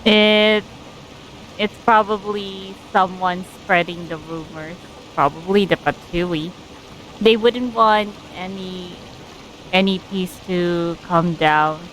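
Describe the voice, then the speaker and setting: A woman giving casual, informal live commentary as a game streamer, speaking haltingly. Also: lowish voice, fairly even intonation, fairly bright tone, a little hiss or hum, rough recording.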